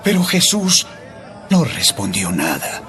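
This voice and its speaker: A man's voice: fairly low pitch, wildly swooping pitch, takes it slowly, bright, forceful tone, faint music behind, some chatter behind. A man narrating a dramatized Bible audiobook in a dramatic storytelling manner.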